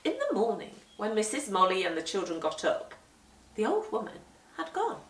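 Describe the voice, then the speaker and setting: A woman reading a children's picture book aloud, with deliberate, animated and emphatic storytelling. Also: medium pitch. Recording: roomy echo, distant microphone.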